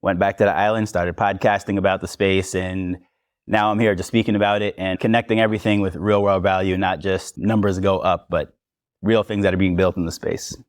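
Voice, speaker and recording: A man with a Jamaican accent talks through his ideas on a conference panel in a loose, conversational way. His voice sits low. The sound is dry and close, from a clip-on mic. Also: level tone, fairly quick, dry recording. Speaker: podcast host and panelist. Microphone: lavalier mic.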